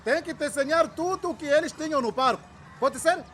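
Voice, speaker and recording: A patient, keen male schoolteacher in a radio serial, pitched very high. He instructs and checks with questions over faint background noise. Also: moderate pace. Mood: instructive, encouraging. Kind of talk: radio drama acting.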